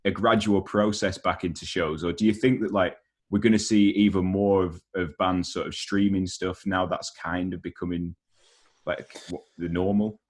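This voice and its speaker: A man's voice: low-pitched, steady tone, moderate pace. A man with a British accent interviewing in a casual, easygoing, slangy way.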